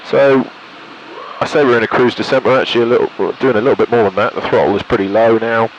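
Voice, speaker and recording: A man's voice: medium pitch, steady tone, quick pace, lo-fi sound, a little hiss or hum. A British man giving a casual commentary from a light aircraft cockpit, thinking aloud and making radio calls into a headset. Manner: thinks aloud while concentrating. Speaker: pilot and vlogger.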